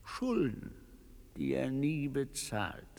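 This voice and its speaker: An actor playing a pompous, servile wealthy colonial businessman in a radio play, with a husky voice and a bombastic manner. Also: medium pitch, big pitch swings, slow pace, darkish tone, heavily acted. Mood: scornful, flattering. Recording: echoey hall with long reverb.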